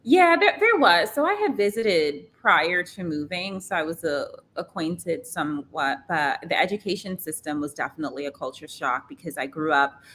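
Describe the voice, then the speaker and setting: A woman's voice: fairly low pitch, normal speed, hardly any pauses, slight background noise. A woman in her thirties from Trinidad with a Trinidadian accent, a podcast guest telling stories with frequent laughter. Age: 30s. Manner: laughs often, lively storytelling. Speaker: guest, model agency founder. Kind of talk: podcast interview.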